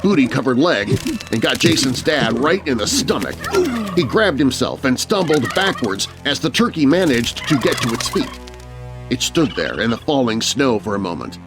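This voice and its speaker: A man narrating a scary story for children in a dramatic, scripted storytelling style. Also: fairly high pitch.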